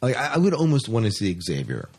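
A man's voice: medium pitch, huge pitch swings, fast, darkish tone, slightly rough. A man hosting a podcast, talking casually and chattily about sci-fi news with an enthusiastic, informal manner. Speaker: podcast host.

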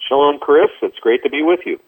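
A man speaking as a ministry guest in an interview over a telephone, explaining things in a measured way. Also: on the high side, breathy edge. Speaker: ministry director, radio guest. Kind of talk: interview answers. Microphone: telephone call. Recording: tinny narrow-band phone line.

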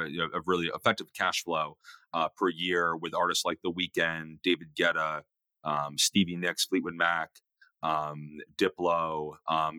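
Guy with a super low voice, lots of ums and restarts, bright edge, dry sound. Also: no room sound. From New York area. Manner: halting, many fillers and restarts. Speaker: guest, music investor. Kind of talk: casual interview talk.

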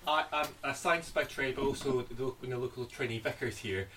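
A man in an audience asking a question in halting, tentative phrases, picked up quietly from far off with faint noise. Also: medium pitch. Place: audience at live bookshop event.

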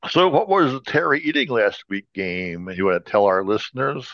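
A man's voice: mid-range voice, sing-song, moderate pace, a bit bright, no echo, highs cut off. A man hosting a podcast in casual, joking chat, tossing in wisecracks. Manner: wisecracking, jokey. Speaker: podcast host.

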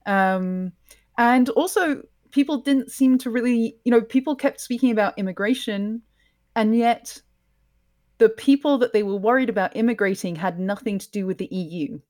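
A woman from Scotland with a mixed, mostly English accent, speaking softly in a lowered tone as a guest in a casual interview, laughing often. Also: moderate pace. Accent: mixed, mostly English with some Scottish. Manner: soft lowered tone, laughs often, many ums. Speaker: podcast host, guest.

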